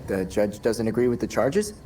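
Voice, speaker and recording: A man playing a confident, wry young masked vigilante in a video game cutscene, over loud music. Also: lowish voice, very animated, normal speed, slight background noise. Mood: wry, focused.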